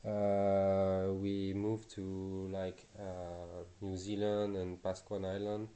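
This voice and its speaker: Slow, halting man from Tahiti. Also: low-pitched, completely flat delivery, warm tone. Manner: halting, searching for words. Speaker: guest. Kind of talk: casual interview.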